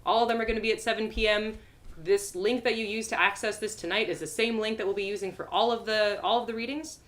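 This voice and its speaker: A woman's voice: medium pitch, steady tone, fast talker, a bit bright. An American woman talking casually as storyteller and host to a live online audience on a video call.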